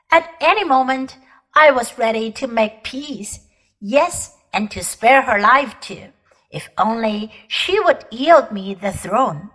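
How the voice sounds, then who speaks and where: A woman's voice: fairly high pitch, fairly bright tone, heavily acted, low-quality recording. A woman reading aloud, voicing a proud, ruthless ancient queen who declaims grandly and looks down on common people. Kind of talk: audiobook character reading.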